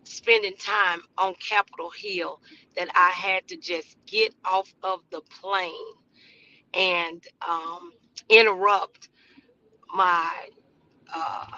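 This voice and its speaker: A woman hosting a motivational faith podcast, speaking off the cuff in an earnest, halting, preacherly way. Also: mid-range voice, animated, bright, forceful tone, lo-fi sound. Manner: preacherly, earnest, halting delivery with repeated phrases. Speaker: host of a motivational talk podcast. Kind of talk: inspirational faith talk, loosely improvised.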